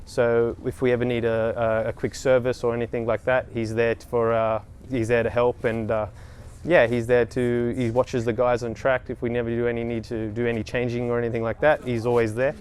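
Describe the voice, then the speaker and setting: A man in his thirties, a race mechanic talking casually in an interview, with many pauses and restarts. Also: mid-range voice, little pitch movement, a little mellow, slight background noise. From Australia. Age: 30s. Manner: hesitant, many ums and restarts.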